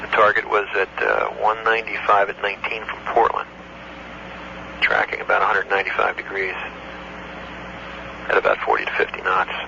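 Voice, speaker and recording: A man, an air traffic control supervisor, recounting an incident in a matter-of-fact, halting way on an old taped phone call. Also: fairly low pitch, little pitch movement, gravelly. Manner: matter-of-fact, halting recall with technical detail. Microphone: telephone.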